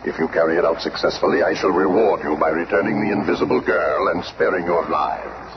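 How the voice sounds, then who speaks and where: Guy doing a scheming radio supervillain, really low gravelly voice, pompous, bossy, old muffled recording. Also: fairly even intonation, brisk, very noisy, natural voice. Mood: commanding. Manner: pompous, commanding. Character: scheming, imperious supervillain man, grandly sinister. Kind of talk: radio drama acting.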